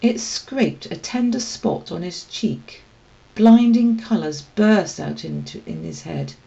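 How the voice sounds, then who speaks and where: A woman reading a children's story aloud as expressive scripted narration. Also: on the low side, slow pace, creaky, dull audio. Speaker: storybook reader.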